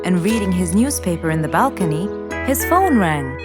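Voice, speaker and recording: A woman's voice: on the low side, very animated, normal speed, loud music behind the voice. A woman narrating a children's bedtime story in a scripted storytelling style.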